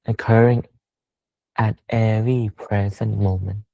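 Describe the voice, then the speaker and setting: A man leads a guided meditation in a measured, pausing delivery. His pitch barely moves from phrase to phrase. The sound is very dry and close, though a little dull and lo-fi. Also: steady tone, creaky, warm tone, dry recording, soft background music. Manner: slow, measured, pausing between short phrases. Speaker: Buddhist meditation teacher. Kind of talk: guided meditation talk with Buddhist chanting.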